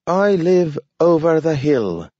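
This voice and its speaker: Eager young talking dog, a man putting it on, way up high, careful odd-sounding words, dull lo-fi audio. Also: big pitch swings, slow pace, a little mellow, dry recording, exaggerated voice. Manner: careful pronunciation like a learner, odd intonation. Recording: dull lo-fi recording.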